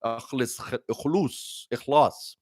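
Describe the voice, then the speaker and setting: A middle-aged man, an imam, giving an earnest livestreamed religious talk with numbered tips and pauses to greet viewers. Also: mid-range voice, a little mellow, dry recording, studio quality.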